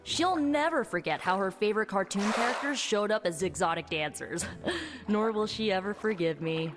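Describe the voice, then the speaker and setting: An actress playing a chatty, wry young sorceress in a video game, with a breezy, playful conversational manner. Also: female voice, mid-range voice, animated, normal speed, over music, lightly acted. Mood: playful, amused. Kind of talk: video game cutscene acting.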